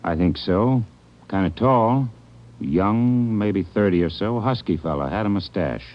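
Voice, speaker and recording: A lowish-voiced small-time hotel manager in vintage radio drama. He is folksy and defensive, with nervous, highly animated inflection. The recording is dull and old. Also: measured pace. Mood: uneasy. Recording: muffled old radio broadcast.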